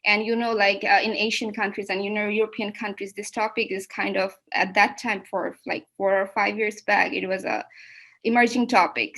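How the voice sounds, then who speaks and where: A young woman with a Bangladeshi accent presents academic research as a PhD student, speaking in a halting way with repeated and rephrased words. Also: mid-range voice, bright, forceful tone. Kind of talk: academic seminar presentation, semi-spontaneous.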